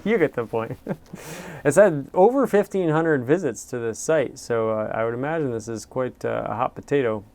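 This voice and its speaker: A man's voice: mid-range voice, expressive, moderate pace, a little mellow, dry recording. A man hosting a casual car review show, rambling and self-deprecating with lots of filler words.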